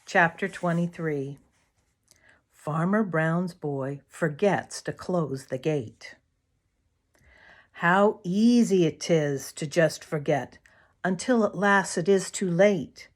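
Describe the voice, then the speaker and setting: A woman reading a children's story aloud in a deliberate, expressive storytelling manner. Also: on the low side. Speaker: storybook reader.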